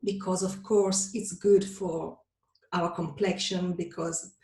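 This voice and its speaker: A woman's voice: on the low side, little pitch movement, takes it slowly, warm tone, distant mic. A woman in her forties from Switzerland with a Swiss Italian accent, a guest on a podcast who speaks friendly and sometimes searches for words. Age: 40s. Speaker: guest, face yoga instructor. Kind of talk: casual podcast interview. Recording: roomy sound, voice away from the mic, faint music.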